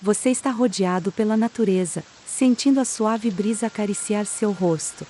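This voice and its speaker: A Brazilian woman leads a guided relaxation in a calm, soothing narration. Her voice is mellow and medium in pitch, and she leaves space between phrases. Gentle rain runs faintly behind her. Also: normal speed, a little hiss or hum. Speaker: meditation guide. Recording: steady rain sound behind the voice. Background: soft rain.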